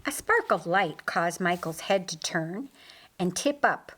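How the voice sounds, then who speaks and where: A woman reading a fiction audiobook as narrator, in a deliberate, measured manner. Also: fairly low pitch, animated, fairly bright tone. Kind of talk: scripted narration of a fiction story.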